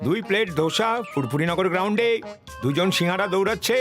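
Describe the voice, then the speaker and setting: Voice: medium pitch, lively intonation, quick pace, a bit bright, faint music behind, close to an everyday voice. Dim, unbothered cartoon food order-taker voiced by an actor. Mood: obliging, confused. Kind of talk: animated cartoon dub acting.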